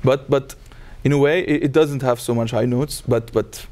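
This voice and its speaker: A man's voice: medium pitch, steady tone, moderate pace, warm tone. A man born in Iran whose first language is Armenian, an opera tenor answering interview questions hesitantly, searching for words.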